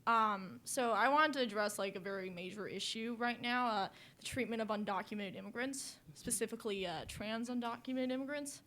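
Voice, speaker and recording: A woman's voice: a little high, fairly quick, hardly any pauses, a bit bright. Young woman asking a hesitant question. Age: young adult. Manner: hesitant, filler-heavy. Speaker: audience member asking a question.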